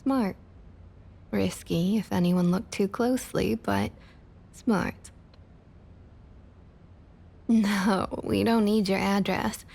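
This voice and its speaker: Woman acting as sweetly menacing vampire councilwoman, Southern US drawl, creaky, unhurried, condescending, ASMR roleplay. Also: mid-range voice, darkish tone. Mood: businesslike, smooth. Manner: condescending, drawling pet names, slow and deliberate.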